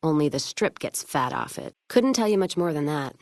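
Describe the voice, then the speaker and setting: Game role: hard-bitten, hard-drinking caravan woman, low register, blunt and wry, folksy, very dry close recording. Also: lively intonation, moderate pace, a little mellow, no room sound. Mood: wry, weary. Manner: blunt, wry, folksy drawling turns of phrase. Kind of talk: video game voice acting, scripted dialogue.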